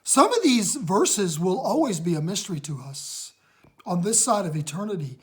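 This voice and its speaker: Raspy woman, chatty Bible teacher, phone recording at home, mid-pitched. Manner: chatty, excited, emphatic. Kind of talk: casual Bible study teaching.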